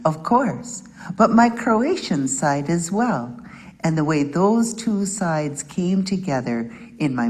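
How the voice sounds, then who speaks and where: A middle-aged woman, a beadwork artist, giving a measured, prepared narration about her work. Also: deep voice, darkish tone, big-room sound, low-quality recording. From Wisconsin, USA.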